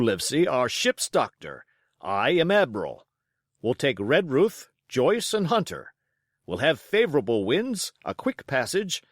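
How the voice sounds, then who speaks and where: Guy doing a loud blustery squire for an audiobook, pitched way up, swoops all over, bossy and eager. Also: no room sound, clean studio sound. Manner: emphatic, exclamatory, commanding. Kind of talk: audiobook character voice.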